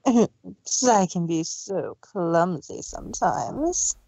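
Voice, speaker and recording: A woman voicing a scheming, unhinged female pony villain with a slow, condescending, taunting delivery in a story reading. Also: on the low side, very animated, creaky, warm tone, slightly rough, exaggerated voice. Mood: mock politeness. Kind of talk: dramatized fan fiction reading.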